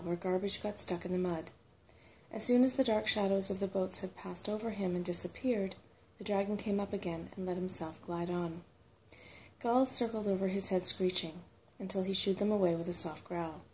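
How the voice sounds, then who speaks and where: A woman calmly reading a storybook aloud as unhurried ASMR narration. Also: fairly low pitch, a little hiss or hum, low-quality recording. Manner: calm, unhurried.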